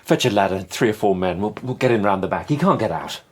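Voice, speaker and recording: An actor plays a tired asylum doctor in a radio drama. The man has a fairly low, very animated voice and gives orders briskly, with some reluctance. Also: lightly acted. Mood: reluctant, brisk.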